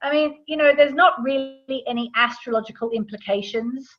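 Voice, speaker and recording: A woman's voice: sits high, normal speed, dull audio. A woman speaking as an interview guest, explaining things in a casual, informal, conversational way. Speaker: astrology author, interview guest.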